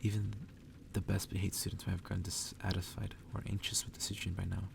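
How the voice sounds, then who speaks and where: A man softly reading a story aloud in ASMR style, sometimes dropping toward a whisper and stumbling over the text. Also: sits low, little pitch movement, fast talker, vocal fry, recorded from a distance, noisy background. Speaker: ASMR reader.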